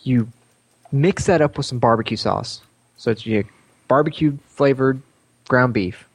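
A man's voice: mid-range voice, a little slow, husky, dry recording. A man co-hosting a podcast in casual chat, with a wry, playful way of talking. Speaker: podcast co-host.

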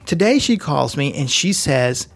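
A man's voice: fairly high pitch, very animated, a little slow, creaky, husky, soft background music. A man co-hosting a podcast, casually telling a long anecdote that keeps wandering into asides and self-corrections. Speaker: podcast co-host. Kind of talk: casual chat, storytelling. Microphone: studio microphone plugged into computer.

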